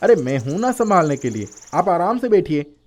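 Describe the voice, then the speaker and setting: A man's voice: high-pitched, very animated, moderate pace, a little mellow. Man playing a gallant, earnest young storybook prince. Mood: gallant, courteous. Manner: formal, gallant, earnest delivery. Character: brave, chivalrous, courteous young prince.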